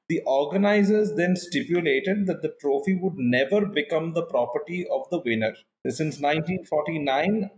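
A man's voice: a little high, a little mellow, poor audio. A man co-hosting a casual quiz podcast, thinking aloud, laughing at himself, then reading out a trivia question. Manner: self-deprecating, thinks aloud, laughs often. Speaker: co-host, quiz contestant.

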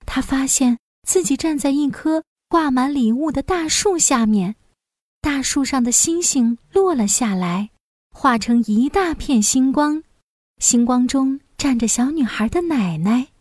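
A woman storyteller reading a scripted fairy tale for young children in an expressive manner. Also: high-pitched, unhurried, dry recording, clean studio sound.

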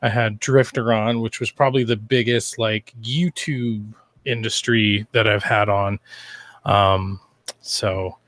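A man's voice: on the low side, fairly even intonation, slow pace, a bit bright, no echo. An American man from Idaho hosting a podcast in a rambling, informal, chatty way. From Idaho, United States. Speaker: podcast host. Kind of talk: casual chat.

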